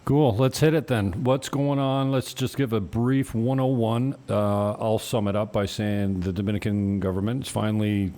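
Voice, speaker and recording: A man from Canada hosts a casual interview and asks long, chatty, rambling questions. His voice is dark and mellow and sits slightly low. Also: fairly even intonation, moderate pace, hardly any pauses. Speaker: podcast host.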